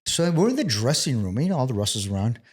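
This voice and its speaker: A man's voice: mid-range voice, fast talker, breathy edge. Chatty middle-aged man telling stories. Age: 50s. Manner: animated storyteller, chatty with lots of "you know". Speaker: guest, former pro wrestler. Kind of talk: casual anecdotal storytelling.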